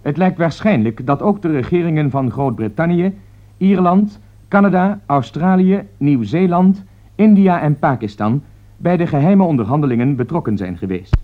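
An actor playing a grave radio newsreader giving an emergency bulletin in formal, measured Netherlands Dutch. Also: male voice, a little high, lively intonation, lightly acted. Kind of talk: 1960s radio drama news bulletin. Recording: dull vintage 1960s radio recording.

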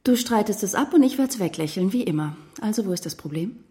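An actress playing a cold, cynical politician's wife in a radio crime drama, cutting and controlled. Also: female voice, mid-range voice, lively intonation, quick pace, mellow, lightly acted.

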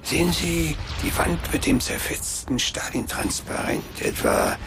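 An actor playing a falsely courteous, mocking villain in a theatrical radio drama, oily and mock-servile. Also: male voice, a little high, huge pitch swings, measured pace, vocal fry, noisy background, heavily acted. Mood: mocking politeness. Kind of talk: German radio drama acting.

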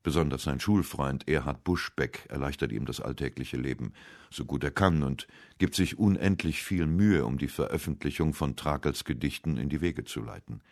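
A man narrating a scripted literary radio essay with measured, deliberate phrasing. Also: rumbling low, vocal fry, no echo, studio quality. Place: dry radio studio.

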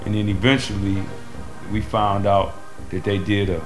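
A man's voice: on the low side, level tone, moderate pace, rough recording. A man talking candidly, as in an interview, in a blunt, colloquial, emphatic way. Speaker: musician and record label member. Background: music.

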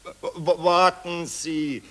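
An actor playing a vain, falsely modest old Austrian general in a 1940s radio play, hemming, stammering and repeating himself. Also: male voice, fairly high pitch, steady tone, slow. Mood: self-satisfied.

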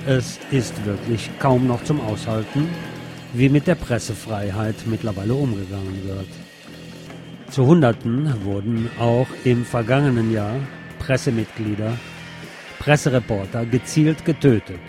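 A man's voice: medium pitch, unhurried, over music. A man reading scripted narration as a podcast host.

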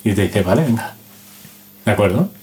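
A man's voice: lowish voice, expressive, normal speed, a little mellow, a little hiss or hum. A man with an accent from Spain telling anecdotes casually and colloquially, checking in with little tag questions and acting out what people said. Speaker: interview guest, sound and music analyst.

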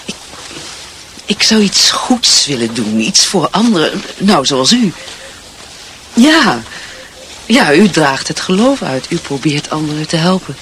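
A man's voice: fairly high pitch, sing-song, a little slow, long pauses, a bit bright, a little hiss or hum. An actor in a radio drama playing an earnest, dutiful wife and mother, hesitant, searching and sincere. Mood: wistful, confiding.

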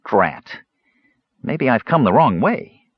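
A man voicing an excitable, nosy little male kender with a high, chirpy voice. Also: slow pace, slightly rough, dry recording, heavily acted. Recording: dull lo-fi dry recording.

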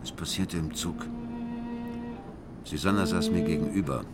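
An actor playing an embittered, betrayed husband who confides his marriage story in a deliberate, brooding way in a radio drama. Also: low voice, over loud music, natural voice. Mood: reflective. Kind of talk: radio drama monologue, intimate narration.

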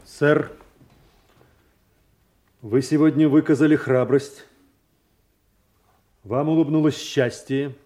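Stern dignified duke, mellow-toned. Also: male voice, on the high side, steady tone, slow, long pauses. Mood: stern, formal. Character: stern, dignified duke, honourable man of authority. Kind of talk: theatrical Shakespearean radio drama acting.

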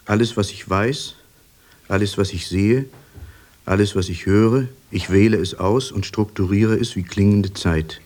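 A man presenting scripted narration in measured, deliberate phrases. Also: fairly low pitch, darkish tone. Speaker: radio presenter.

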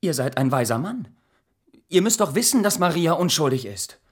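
A man's voice: on the high side, very animated, normal speed, slightly rough. A man playing a desperate, lovestruck young man in a German radio drama, earnest and impassioned. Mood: pleading. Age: young adult.

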